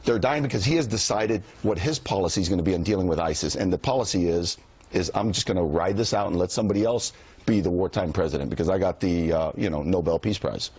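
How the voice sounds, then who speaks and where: A man on a studio panel, arguing his point with halting restarts that build into emphatic statements. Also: low voice, darkish tone, husky, no echo.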